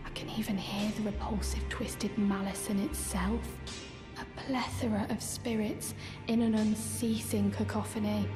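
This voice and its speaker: A woman's voice: fairly high pitch, lightly acted. Woman acting grave spirit tuner, echoing hall. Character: solemn female spirit tuner, grave and troubled. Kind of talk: video game character dialogue. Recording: echoey hall reverb, music behind.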